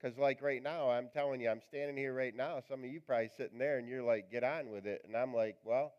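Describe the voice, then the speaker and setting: A male pastor with a raspy voice, preaching a live church sermon in a chatty, joking, rambling way. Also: medium pitch, fairly even intonation, quick pace, highs cut off. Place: church sanctuary.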